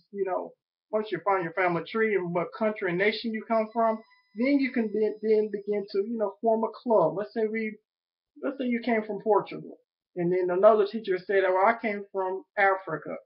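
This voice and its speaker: A young man talking earnestly and repetitively to his webcam from a small room at home, pitching an idea. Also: normal speed. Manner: earnest, repetitive, self-promoting. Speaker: vlogger. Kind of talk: rambling webcam monologue. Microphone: webcam at desk. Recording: dull lo-fi webcam audio.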